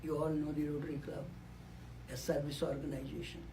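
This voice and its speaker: A man's voice: fairly high pitch, level tone, a little fast, background noise. A man, giving a reflective speech on a conference panel into a microphone and repeating key words for emphasis. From Nepal. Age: 80s. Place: conference room. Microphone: handheld or podium microphone.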